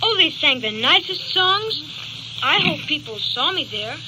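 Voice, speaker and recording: A woman's voice: high-pitched, very animated, a little slow. A woman voicing a sweet, pious, frail little boy in a 1930s radio drama. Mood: earnest, innocent. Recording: faintly hissy old radio recording.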